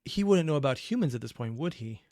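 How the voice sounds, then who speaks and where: A man's voice: mid-range voice, lively intonation, fast talker, over music. A man hosting a reaction video, giving casual running commentary in a musing, questioning, wry way.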